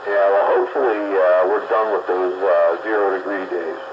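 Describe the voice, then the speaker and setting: A man's voice: deep voice, steady tone, moderate pace. A man hosting a radio show, chatting casually with many fillers. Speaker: radio host. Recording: dull lo-fi radio sound with hiss.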